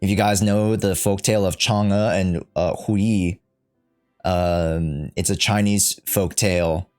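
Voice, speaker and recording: A man's voice: low-pitched, steady tone, takes it slowly, darkish tone, soft background music. A man livestreaming a casual, slangy reaction to a game, joking and rambling as he goes.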